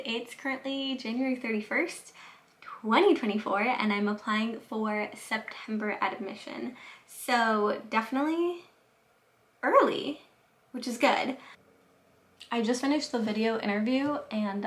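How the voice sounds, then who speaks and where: A chatty young woman records a casual vlog diary on her phone. Her voice sits a little high, and she talks in a loose, filler-filled way that sounds both nervous and excited. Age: young adult. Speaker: vlogger applying to grad school.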